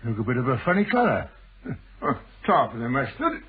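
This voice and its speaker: An actor playing a genial, hungry working-class café regular in a British radio play, talking in a chummy, colloquial way. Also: male voice, medium pitch, sing-song, a little fast, natural voice. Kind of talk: British radio drama acting. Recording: muffled old radio broadcast, faint noise.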